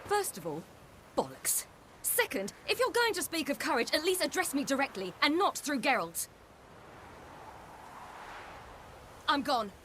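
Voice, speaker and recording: A woman's voice: high voice, very animated, normal speed, pushed and bright, a little hiss or hum, full character voice. An actress playing a headstrong, impatient young woman warrior who speaks bluntly and defiantly in a game cutscene. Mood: frustrated. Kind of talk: video game cutscene acting.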